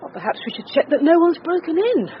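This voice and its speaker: A woman's voice: extremely high pitch, sing-song, quick pace. Dogged British female police boss, dry and commanding. Radio drama, dulled sound, light noise. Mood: brisk, sly. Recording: hissy, muffled radio broadcast.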